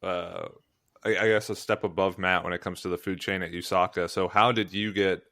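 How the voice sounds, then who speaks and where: A man hosting a podcast, asking a guest relaxed questions with some hesitations. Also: deep voice, brisk, no room sound, studio quality. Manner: relaxed, hesitant, with restarts and fillers. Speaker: podcast host. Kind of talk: interview questions.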